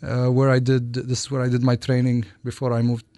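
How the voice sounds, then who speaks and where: Male doctor from Lebanon, studio interview guest, hesitant phrasing with false starts, mid-range pitch, dry close sound. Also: completely flat delivery, fairly quick, mellow, dry recording. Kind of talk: interview answers. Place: radio studio.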